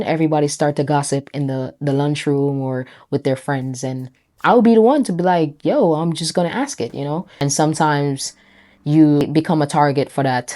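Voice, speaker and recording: A woman's voice: low-pitched, warm tone. A young woman with a Jamaican accent, a brand founder chatting reflectively to camera, full of "you know" and "right?". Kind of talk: casual talk to camera.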